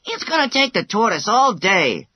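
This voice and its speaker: Woman as a bright, swooping, cocky cartoonish hare. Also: medium pitch, big pitch swings, moderate pace, slightly rough, no room sound, heavily acted. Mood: smug. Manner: cocky, exclaiming, scornful. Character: boastful, energetic, mocking young male hare. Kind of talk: children's storybook character acting.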